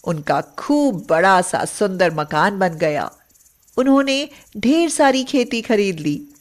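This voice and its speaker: A woman narrates a moral bedtime story. Her voice sits at a middle pitch. She reads the scripted lines very slowly, phrase by phrase. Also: very animated.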